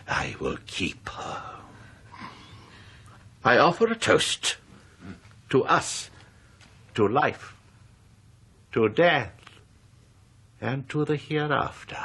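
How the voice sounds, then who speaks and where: An actor playing a possessive, sardonic older husband in a radio drama, English-accented, deliberate and theatrical in delivery. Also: male voice, on the low side, sharp tone, slightly rough, heavily acted. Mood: brooding, possessive, then sardonic, ceremonious. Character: pompous, possessive husband, sardonic country-house host. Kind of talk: 1990s radio drama acting.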